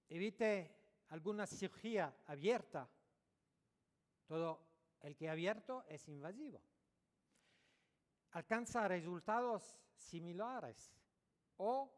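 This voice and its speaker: A man speaking Spanish as a non-native speaker, giving a dental conference lecture, pausing often and correcting his own words. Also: on the high side. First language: French or Italian, not Spanish. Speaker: dentist giving a conference talk. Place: large lecture hall. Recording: echoey hall recording.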